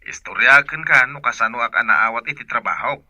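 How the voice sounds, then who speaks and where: Very sharp-voiced Filipino husband. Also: male voice, medium pitch, lively intonation, fairly quick, no echo, dull audio. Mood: insistent. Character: husband announcing his new job to his wife. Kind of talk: Filipino radio drama acting.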